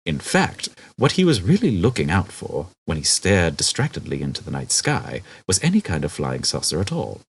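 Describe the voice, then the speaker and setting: A man reads a comic novel as a scripted audiobook. His voice sits low and is rough-edged, and his intonation swings widely as he tells the story. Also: huge pitch swings, normal speed, hardly any pauses. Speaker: audiobook narrator.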